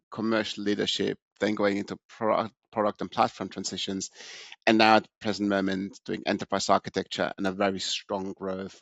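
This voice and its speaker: A middle-aged man from Austria speaks in a low, gravelly voice, recounting his career in a relaxed, unhurried way. He often restarts phrases and fills pauses. The sound is dry and close, with no room echo. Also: muffled recording. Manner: relaxed, many restarts and fillers. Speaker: podcast guest. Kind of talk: casual interview storytelling.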